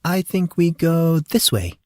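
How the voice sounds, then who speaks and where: A man voicing an excitable, absent-minded, motherly woman in an audiobook story, eager and fussing. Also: a little high, takes it slowly, no echo, clean studio sound, voice pushed up. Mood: breezy.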